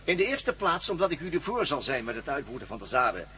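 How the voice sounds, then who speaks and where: A man's voice: fairly high pitch, very animated, fast talker, bright, forceful tone, slight background noise. An actor playing a commanding villain captain in a radio drama, mocking and sardonic, gloating over his plans to rule the world. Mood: smug gloating. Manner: mocking, sardonic, barks orders.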